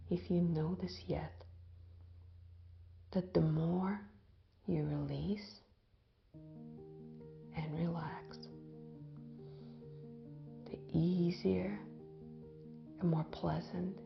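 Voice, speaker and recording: A woman guides a visualization gently and very slowly, leaving long pauses between short phrases. Her voice has a noticeable creak and softens almost to a whisper as phrases end. The recording is slightly dull. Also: on the low side, steady tone. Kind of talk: guided visualization, soothing hypnotic narration. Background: background music.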